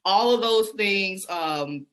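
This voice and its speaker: A woman's voice: medium pitch, slow, fairly bright tone. A woman with a Southern American accent, a podcaster and educator telling her story as a guest in a casual online interview. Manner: enthusiastic, storytelling, self-reflective. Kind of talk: conversational interview answers.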